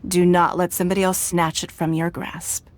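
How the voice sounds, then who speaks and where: A woman playing a poised, wealthy female ruler who speaks in a measured, formal, authoritative way. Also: on the low side, level tone, slight background noise, natural voice. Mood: composed. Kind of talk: game voice acting. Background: game music.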